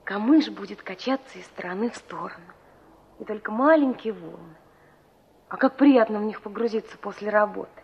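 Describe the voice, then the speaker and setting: A woman's voice: medium pitch, a little fast, husky. An actress playing an earnest, stubborn and dreamy young country woman in a Soviet radio play. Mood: dreamy, eager. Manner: earnest, wistful. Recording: dull, muffled 1960s radio recording.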